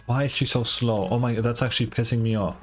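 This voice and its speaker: A man's voice: mid-range voice, fairly even intonation, fast talker, husky, soft background music. A man giving blunt, sardonic, informal commentary as a streamer reacting to a game.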